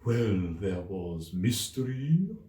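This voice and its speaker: An aged, touchy turtle full of woe for a children's story. Each phrase drags slowly and swoops widely in pitch. The sound is roomy and distant from the microphone. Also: male voice, very animated, mellow. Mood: wistful. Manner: slow, drawn-out, sorrowful delivery. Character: mournful, touchy old male turtle, sighing over schooldays. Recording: echoey room, distant microphone.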